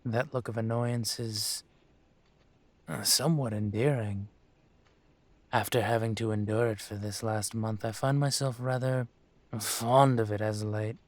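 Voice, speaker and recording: A man playing a dragon mafia boss in an audio roleplay, speaking with measured, condescending menace and smug control. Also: lowish voice, steady tone, dry recording, lightly acted. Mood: smug, amused. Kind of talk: scripted roleplay monologue to the listener.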